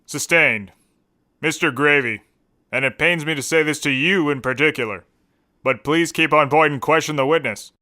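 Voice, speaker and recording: An actor playing a stern, fed-up trial judge in a comedy, speaking formally and impatiently. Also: male voice, a little high, normal speed, bright, forceful tone. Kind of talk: scripted comedy courtroom acting.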